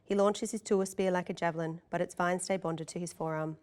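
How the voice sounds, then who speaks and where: A woman's voice: on the low side, steady tone, brisk, a little mellow, clear, resonant tone. A woman reading scene directions as the narrator of a screenplay table read.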